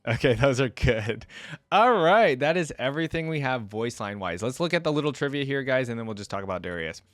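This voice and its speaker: A man's voice: mid-range voice, animated, a little fast, dry recording. A man giving casual reaction commentary as a video host.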